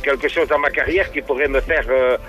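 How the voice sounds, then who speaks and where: A man's voice: medium pitch, steady tone, fairly quick, a bit bright, faint music behind. A man calling a radio show, answering briefly and hesitantly, mostly agreeing. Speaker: caller.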